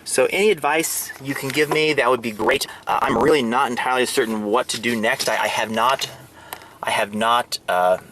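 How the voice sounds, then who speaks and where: A man giving a casual, step-by-step hands-on demonstration, speaking hesitantly and haltingly. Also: mid-range voice, noisy background. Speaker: hobbyist showing a radio install. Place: in or at a vehicle.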